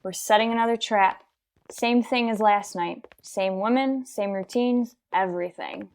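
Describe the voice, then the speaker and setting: A woman's voice: mid-range voice, unhurried, darkish tone, lightly acted. Businesslike policewoman in an old-style radio drama, acted. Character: businesslike female police sergeant narrating a manhunt. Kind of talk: 1940s radio police drama acting, narration.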